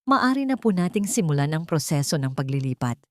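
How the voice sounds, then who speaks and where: A woman's voice: deep voice, big pitch swings, brisk, dry recording. A courteous male attorney, as a woman narrator reads him in an audio drama. He talks in a casual, businesslike way, close and dry.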